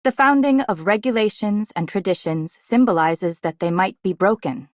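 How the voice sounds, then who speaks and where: An actress playing a stern, resolute woman enforcer in a game, speaking formally and deliberately. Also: mid-range voice, no room sound, studio quality, lightly acted. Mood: solemn, resolute. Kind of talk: scripted game character voice acting.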